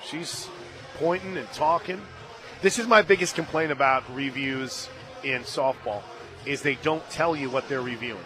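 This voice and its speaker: A man calling a live softball game on radio, play by play, brisk and rising to excited shouts on big plays. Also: mid-range voice, over music. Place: stadium radio booth.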